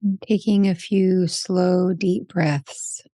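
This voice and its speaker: Low, mellow woman guiding meditation. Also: fairly even intonation, dry recording. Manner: slow, gentle pauses between short phrases. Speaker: meditation guide. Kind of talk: guided meditation.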